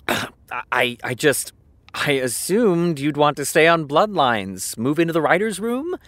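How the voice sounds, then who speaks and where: Scattered, obsessive showrunner for audio drama: deep female register, unhurried, dry close sound, mutters and stumbles. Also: very animated, a bit bright, no room sound, exaggerated voice. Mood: flustered. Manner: muttering, stammering, sighing. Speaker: actor. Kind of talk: naturalistic audio drama acting.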